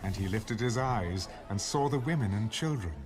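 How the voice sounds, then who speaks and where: A man narrating dramatized scripture with measured storytelling. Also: fairly low pitch, animated, a faint crowd. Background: music.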